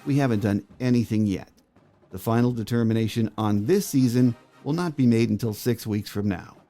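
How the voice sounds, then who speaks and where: A man's voice: mid-range voice, moderate pace, warm tone, soft background music. An American man hosting a sports podcast, delivering prepared commentary and introductions. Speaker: podcast host. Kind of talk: scripted sports podcast monologue and interview.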